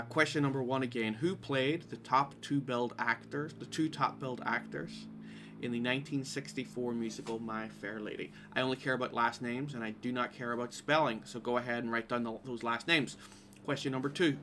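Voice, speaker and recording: A man's voice: medium pitch, a bit bright, a little hiss or hum. A man with a Derry, Northern Irish accent hosting a live-streamed quiz, reading questions aloud in an informal way with wry asides. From Derry, Northern Ireland. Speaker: quiz host.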